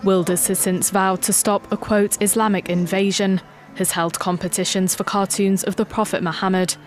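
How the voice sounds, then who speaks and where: A woman's voice: mid-range voice, flat delivery, normal speed. A woman narrating a scripted news report as a broadcast reporter.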